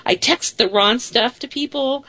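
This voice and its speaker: A woman's voice: sits high, unhurried, sharp tone, dry recording. A woman in her late forties hosting a casual radio talk show, chatty and self-deprecating, laughing easily. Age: 40s.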